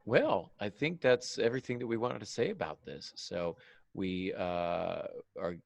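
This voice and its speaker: A man's voice: lowish voice, a little slow, gravelly, no room sound. A man hosting a podcast panel in an informal, casual discussion style. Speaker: podcast host.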